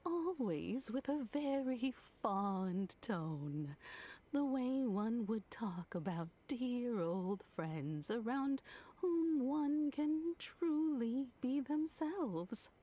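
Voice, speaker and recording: Slow-spoken, gracious old woman. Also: fairly high pitch, animated, heavily acted. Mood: fond. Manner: polite, affectionate, gently effusive. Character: kindly old female household servant, warm and gracious. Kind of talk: video game voice acting.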